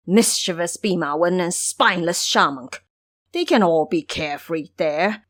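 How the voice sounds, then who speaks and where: Woman doing lazy pig guy from a fantasy audio drama, whiny n indignant, self-pitying, pitch all over the place. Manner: indignant, exclamatory whining. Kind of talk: audio drama character acting.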